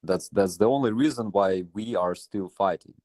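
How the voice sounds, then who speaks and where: A man from Ukraine with a Ukrainian accent, speaking haltingly and casually as a guest on a live panel. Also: on the low side, darkish tone, no echo. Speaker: guest, soldier.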